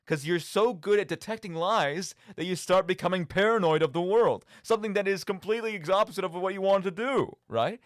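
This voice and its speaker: A man's voice: high-pitched, brisk, hardly any pauses, no room sound, studio quality. A young man hosting a radio show, talking casually about video games in a rambling, jokey, self-deprecating way. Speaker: radio show and podcast host.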